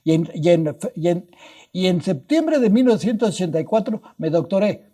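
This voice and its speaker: A man's voice: high voice, lively intonation, moderate pace, warm tone. An older man from Mexico with a Mexican Spanish accent, telling stories as a guest scientist in an interview, restarting words and adding tag questions as he goes. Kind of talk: anecdotal storytelling in a podcast interview.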